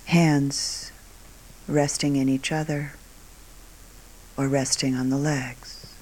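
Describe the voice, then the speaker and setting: Woman leading a guided meditation, really slow and calm, soft kinda breathy voice, lots of pauses. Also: a bass voice, poor audio. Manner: calm, unhurried, short phrases with long pauses. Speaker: meditation teacher.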